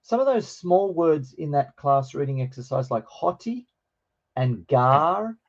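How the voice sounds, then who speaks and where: A middle-aged man with a slightly croaky voice, teaching an informal online lesson in a hesitant, explanatory way. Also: a little high, very animated, unhurried. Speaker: teacher of New Testament Greek. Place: online video call with screen share. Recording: dull, lo-fi online call.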